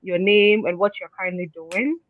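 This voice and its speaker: A woman's voice: lowish voice, normal speed, no echo. A woman hosting an interview in a friendly, conversational way, stacking several follow-up questions together. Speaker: interviewer, host. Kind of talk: casual interview chat. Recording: dry tinny phone-like line.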